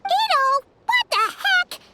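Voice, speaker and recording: Tiny fairy sidekick for a game: squeaky childlike voice, extremely high and animated, perky, easily indignant. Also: female voice, slow pace, full character voice. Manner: excitable, refers to self in third person. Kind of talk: video game voice acting. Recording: loud music behind the voice.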